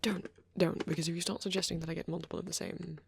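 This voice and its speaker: A performer voicing a shy, gentle young vampire boyfriend in an ASMR roleplay, with a soft, hesitant, often whispered delivery. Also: mid-range voice, little pitch movement, vocal fry, noisy background, lightly acted. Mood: gently reluctant. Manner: hesitant, halting, murmured musing.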